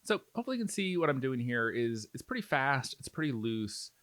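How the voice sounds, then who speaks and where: A man's voice: medium pitch, sing-song, a little fast, fairly bright tone, no echo. A man giving an informal step-by-step hobby tutorial, explaining things in a conversational, reassuring way. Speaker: miniature painting tutorial host.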